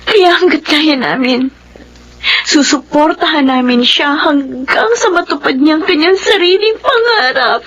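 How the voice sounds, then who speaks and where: A woman's voice: sits high, expressive, measured pace, fairly bright tone, muffled recording, full character voice. A woman playing a devoted young adult eldest daughter in a family drama, affectionate and emotional. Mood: tearful. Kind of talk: sentimental family drama acting.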